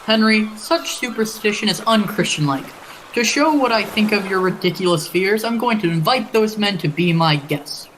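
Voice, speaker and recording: A man's voice: high-pitched, animated, moderate pace, a bit bright, a little hiss or hum, rough recording. An actor playing a sensible father who scoffs at superstition, in old-time radio drama style. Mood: dismissive.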